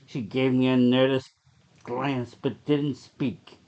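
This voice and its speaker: A narrator reads a horror short story from a script, in a voice of middling pitch that sits between typical male and female ranges. The recording is a little dull and lo-fi. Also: little pitch movement, takes it slowly, darkish tone.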